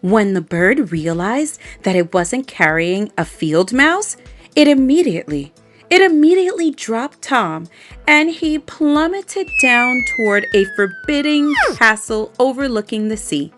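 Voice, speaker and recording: A woman reading a children's story aloud, expressive and adding playful little asides to her listeners. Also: on the high side, a little slow. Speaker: storybook reader. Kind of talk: bedtime story read-aloud. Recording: faint background music.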